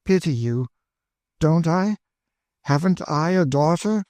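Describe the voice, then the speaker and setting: A man's voice: a little high, lively intonation, slow, a little mellow, no room sound, voice pushed up. A man voicing a weary, despairing woman who speaks with dry bitterness. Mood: bitter.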